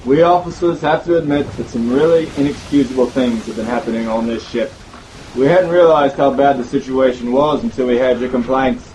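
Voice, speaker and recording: A man's voice: mid-range voice, brisk, a little mellow, a little hiss or hum, natural voice, poor audio. A man voicing an oily, placating ship's officer. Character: smooth, insincere male ship's officer.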